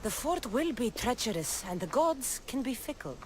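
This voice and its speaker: A woman's voice: a little high, big pitch swings, normal speed, a little hiss or hum. An actress playing a tough, wry female Greek mercenary in a video game, dry and guarded in manner. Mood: firm, planning. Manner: dry, guarded, matter-of-fact. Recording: background music under the voice.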